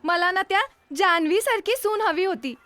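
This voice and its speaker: A woman's voice: very high-pitched, moderate pace, sharp tone, dry recording. A woman playing an exasperated, melodramatic middle-aged mother in comedy film dialogue, scolding and plaintive. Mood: exasperated, wailing.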